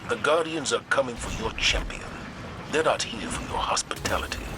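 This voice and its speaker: A man playing a stern, measured military commander in a video game, grave, formal and authoritative. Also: mid-range voice, expressive, noisy background, natural voice.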